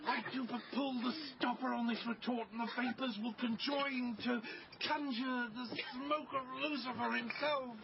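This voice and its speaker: Flamboyant theatrical father, high voice, pompous, declamatory, florid, radio drama, muffled sound over ambient noise. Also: fairly even intonation, moderate pace, creaky. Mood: ominous. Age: middle-aged. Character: grandiloquent theatrical father, flamboyant travelling actor-showman.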